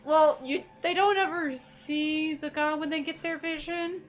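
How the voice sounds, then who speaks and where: A woman's voice: sits high, fairly even intonation, a little slow, soft background music. A woman hosting a casual gaming playthrough, jokily paraphrasing what the characters say. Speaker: gaming video host and player.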